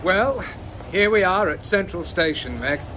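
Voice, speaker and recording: An easygoing young student in a radio lesson dialogue. His voice sits high and swoops about, and he speaks slowly and casually, sometimes sounding unsure. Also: lively intonation, pushed and bright, a little hiss or hum, exaggerated voice. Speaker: engineering student. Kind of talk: scripted language-lesson dialogue.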